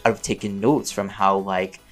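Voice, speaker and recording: A man's voice: sits low, a little fast, rough recording. A man chatting casually and playfully as a voice actor answering listener questions in a rambling Q&A. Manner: playful, chatty, laughs often, animated emphasis.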